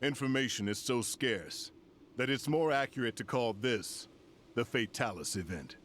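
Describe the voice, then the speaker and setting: An actor playing a grave older general in a video game cutscene, speaking formally and with solemn, measured weight. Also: male voice, medium pitch, fairly bright tone, a little hiss or hum, natural voice. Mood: solemn, resolute.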